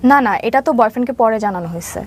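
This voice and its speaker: A woman's voice: a little high, animated, fast, slight background noise. A young woman with a Bangladeshi accent telling a personal story as an interview guest, hesitant and leaning on filler phrases. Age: young adult.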